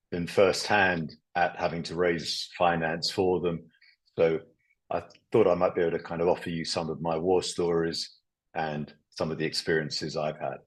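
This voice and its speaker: A man chairing a business webinar, speaking in a relaxed, conversational way with lots of hedging words. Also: deep voice, slightly rough. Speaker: company chairman. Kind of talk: webinar presentation.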